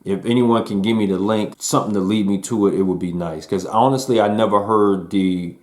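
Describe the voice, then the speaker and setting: Deep-voiced laid-back man reviewing albums. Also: fairly even intonation, fairly quick, darkish tone. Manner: laid-back slangy talk to viewers. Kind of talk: casual music review.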